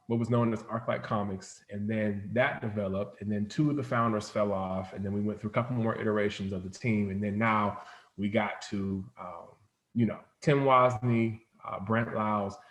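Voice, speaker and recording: A man's voice: lowish voice, fairly even intonation, moderate pace, reverberant. A man talking informally as an interview guest, telling stories in a rambling, conversational way. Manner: informal, rambling storytelling with frequent "like". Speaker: interview guest, comics company founder. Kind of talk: casual interview chat.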